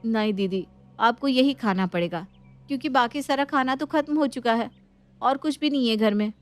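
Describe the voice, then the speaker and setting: A woman's voice: on the high side, moderate pace, lightly acted. A woman voicing a sly young sister-in-law in a story, putting on innocence and making excuses. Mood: falsely apologetic.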